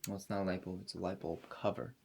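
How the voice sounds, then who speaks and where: A man doing an ASMR roleplay as a spa attendant, addressing a client in a hesitant, rambling, unhurried manner. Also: sits low, steady tone, gravelly, background noise.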